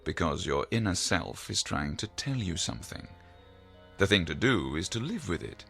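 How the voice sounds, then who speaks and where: An actor playing a calm, pedantic psychiatrist in an audio drama, speaking in a measured, slightly pompous, professorial way. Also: male voice, sits low, creaky, soft background music, full character voice. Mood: lecturing. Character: calm, pedantic male psychiatrist, lecturing and reassuring.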